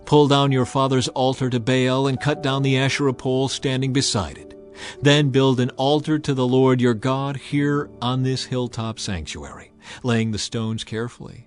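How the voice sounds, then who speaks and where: A man voicing God as a calm, commanding divine figure in an audio Bible drama, measured and authoritative. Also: medium pitch, lightly acted. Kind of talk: dramatized scripture reading.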